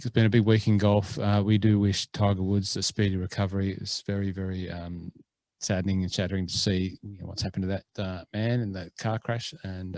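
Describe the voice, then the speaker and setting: A man's voice: low voice, darkish tone, no room sound. A man co-hosting a golf rules podcast, chatting casually in a jokey, rambling way. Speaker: podcast co-host.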